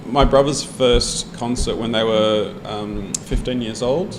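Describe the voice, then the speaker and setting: A man's voice: medium pitch, unhurried, noisy background. Blunt, swearing Australian man. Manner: blunt, swears freely, animated storytelling. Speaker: podcast co-host. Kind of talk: casual pub-style discussion.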